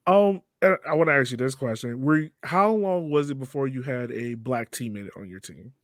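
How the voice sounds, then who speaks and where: A man's voice: mid-range voice, expressive, moderate pace, no echo. A man with an American accent hosting a casual podcast interview. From Richmond, Virginia, United States.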